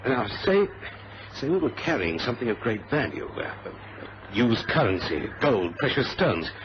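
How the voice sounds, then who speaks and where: A man acting as a suspicious, determined airline man in a vintage radio adventure. His delivery is emphatic and swings widely in pitch as he thinks aloud in halting bursts. The recording is muffled, with plenty of noise over it. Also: big pitch swings, close to an everyday voice.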